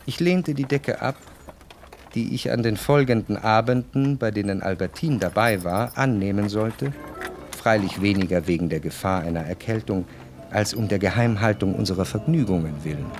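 A man acting as a refined, reflective narrator who recalls society life in measured, lyrical radio-play narration. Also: lowish voice, darkish tone, faint music behind, lightly acted. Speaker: first-person narrator of a radio drama.

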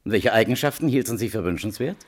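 An actor playing a sardonic prosecuting attorney in a German radio play, questioning in a needling, deliberate and insistent way. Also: male voice, fairly low pitch, expressive. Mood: probing, insistent. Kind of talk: German radio play acting, courtroom interrogation.

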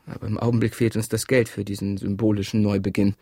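An actor playing a middle-aged, pressured adman husband in a radio drama, husky and measured. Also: on the low side, flat delivery, warm tone, no room sound, studio quality. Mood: uneasy, evasive. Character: pressured adman husband, placating and self-justifying. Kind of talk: radio drama acting, dialogue and monologue.

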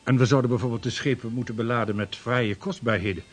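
Casting: male actor as a stiff trade official, breathy, radio play. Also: mid-range voice, steady tone, quick pace, close to an everyday voice. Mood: earnest. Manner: formal, deferential. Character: formal 16th-century Dutch trade official. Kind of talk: radio drama acting.

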